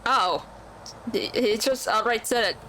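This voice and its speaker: A woman's voice: on the high side, measured pace, a bit bright, slight background noise, rough recording. A woman streamer giving casual, reactive commentary on a game playthrough in an informal way. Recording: game music behind the voice.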